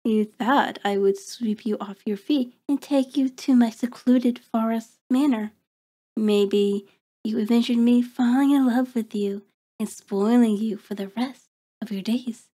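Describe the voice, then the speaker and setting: A woman's voice: on the high side, fairly even intonation, mellow. A woman playing a cold, taunting vampire in an intimate ASMR horror roleplay, speaking with mocking, formal deliberation. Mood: smug, teasing. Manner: slow, deliberate, mocking, haughty formal diction. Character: cold, taunting, predatory female vampire toying with prey.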